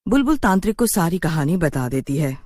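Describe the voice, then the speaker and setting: A woman narrates a scripted animated horror tale. Her voice sits quite low but moves around a lot in pitch, and the sound is dry and close. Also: a little fast, no echo. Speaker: story narrator.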